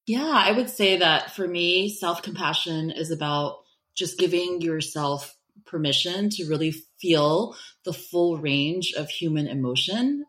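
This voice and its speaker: A woman with an American accent speaks thoughtfully and reflectively as an interview guest, softening her points with small qualifiers. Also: deep voice. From born in Taiwan, raised in the United States from age five. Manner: thoughtful, hedging with kind of and sort of. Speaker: interview guest, public education professional.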